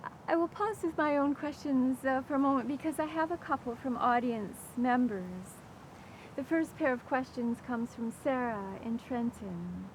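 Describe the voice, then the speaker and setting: Older lady doing a book interview outside, voice on the high side, measured and articulate, bit of outdoor noise. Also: rough recording. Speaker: interviewer, event host. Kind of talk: prepared literary interview questions, reading out audience questions. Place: outdoors in a rose garden. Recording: clear outdoor background noise.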